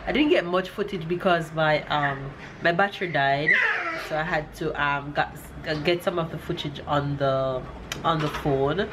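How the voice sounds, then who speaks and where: A woman's voice: low voice, measured pace, heavy background noise. A woman from Jamaica chatting casually to camera in a family vlog, with a stuffy, nasal voice. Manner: chatty, upbeat, lots of fillers. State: stuffy nose. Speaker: vlogger. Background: background music.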